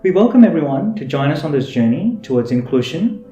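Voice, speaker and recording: A man's voice: fairly high pitch, very animated, a little fast, dark tone, reverberant, lo-fi sound, soft background music. A man in his thirties from India, a native Tamil speaker, earnestly reading a scripted self-introduction as podcast host.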